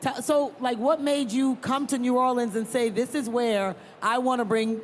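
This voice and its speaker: A woman originally from Liberia moderating a live panel, chatty and quick to laugh. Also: a little high, steady tone, a little hiss or hum. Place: large convention hall.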